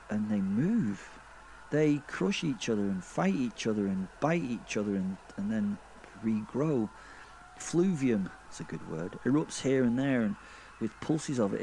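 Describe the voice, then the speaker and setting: A man acts out a werewolf killer narrating a horror audio drama over clearly audible background noise. He rambles in a mid-pitched male voice, correcting himself and swearing as he goes. His tone is morbidly fascinated and conversational. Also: dark tone. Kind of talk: first-person horror audio drama monologue.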